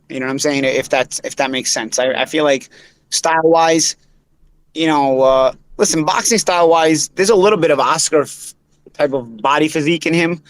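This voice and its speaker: A man in his thirties with a Bensonhurst Brooklyn accent, a former boxer hosting a casual boxing talk show, animated and tripping over repeated words. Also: on the high side. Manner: animated, piles up quick restarts and repeated words.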